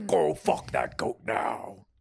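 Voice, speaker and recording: Seductive, menacing goddess, acted by a comic reader: slow, breathy, creaky, commanding. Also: medium pitch, big pitch swings, heavily acted. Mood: soothing command. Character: commanding, sinister seductive woman, a self-declared goddess.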